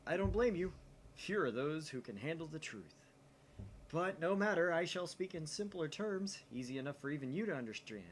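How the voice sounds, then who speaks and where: A man's voice: a little high, animated, normal speed, a little hiss or hum, pitched above the performer's own voice, lightly acted. A man playing a theatrical, pompous mad scientist. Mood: smug, conspiratorial. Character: grandiose, paranoid self-styled male mad scientist. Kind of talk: visual novel dialogue read aloud.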